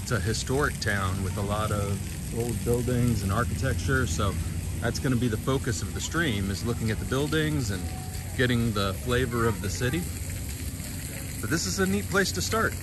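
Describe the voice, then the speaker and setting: A man's voice: mid-range voice, normal speed, very noisy. Chatty man live-streaming a city walk. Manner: chatty, greets viewers by name. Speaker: livestream walking tour host. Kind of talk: casual live-stream narration. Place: outdoor riverfront promenade in a city. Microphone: phone held while walking. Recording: loud music playing behind the voice outdoors. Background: music playing outdoors.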